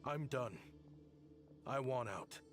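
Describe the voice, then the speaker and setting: A man's voice: medium pitch, animated, takes it slowly. A guarded, grave exiled scholar speaks with earnest, widely moving intonation over game music. He is a principled inventor weighed down by regret. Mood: resolute, weary. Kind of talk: video game voice acting.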